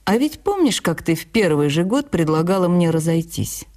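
A man's voice: a little high, sing-song, moderate pace, a little mellow. A woman voicing a teasing, plain-spoken young husband and father in a familiar, bantering way.

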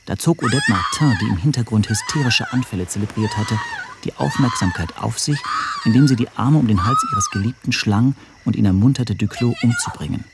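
An actor playing a wry, scheming amateur sleuth who narrates his own story in a measured, literary style. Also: mid-range voice, huge pitch swings, raised voice, very noisy. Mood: dryly amused.

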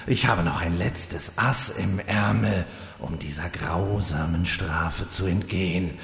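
An actor playing a brooding, theatrical fantasy villain with a gravelly, hammy voice in a comedic radio play. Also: male voice, low voice, slow, a bit bright, exaggerated voice. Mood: scheming. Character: imprisoned demon-hunter villain, brooding and theatrical. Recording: echoey long reverb.

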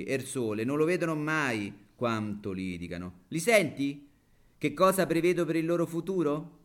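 A man playing a lonely, chatty, good-humoured woman with a Roman accent in a satirical stage monologue. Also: medium pitch.

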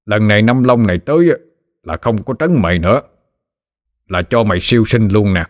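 A man's voice: on the low side, animated, brisk, no room sound, clean studio sound, close to an everyday voice. Man doing a wry, teasing old exorcist. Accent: Southern Vietnamese. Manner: teasing, scolding.

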